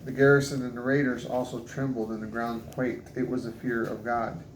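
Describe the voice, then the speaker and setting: A man's voice: medium pitch, flat delivery, moderate pace. A man reading scripture aloud in a plain way, now and then stumbling over a phrase. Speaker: scripture reader. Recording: roomy, noisy home recording.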